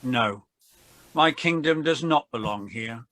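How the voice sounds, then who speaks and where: Woman reading as a slow, solemn Jesus. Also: a bass voice, lively intonation, fairly bright tone, exaggerated voice. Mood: calm, solemn. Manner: slow, deliberate, measured delivery. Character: Jesus, calm, solemn man under arrest and questioning. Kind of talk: dramatized Bible reading.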